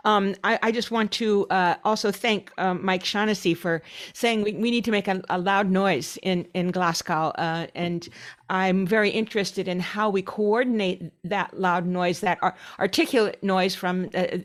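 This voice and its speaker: A woman from the United States speaking earnestly on an online panel, with some hesitations. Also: mid-range voice.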